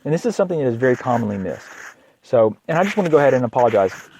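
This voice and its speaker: A slightly hoarse man with a cold hosts an informal health podcast as a doctor, speaking haltingly, repeating words and often clearing his throat. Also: medium pitch, sing-song, darkish tone, a little hiss or hum. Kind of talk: informal educational health monologue.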